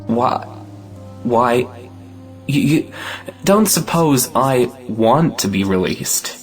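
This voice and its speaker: Man playing possessive young gentleman, impetuous, theatrical, swooping mid-pitched voice, period comedy audio play. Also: animated, drawn-out words, faint music behind. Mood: indignant, possessive. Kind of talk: audio play acting of a 1910s stage comedy.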